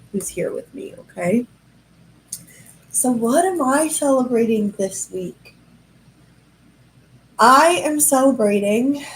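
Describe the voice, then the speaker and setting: A young adult woman hosting a podcast livestream from a high-rise apartment. She has a head cold that makes her nasal, and she chats in a casual, rambling, self-amused way. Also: creaky, a little mellow, slight background noise, low-quality recording. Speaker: podcast host.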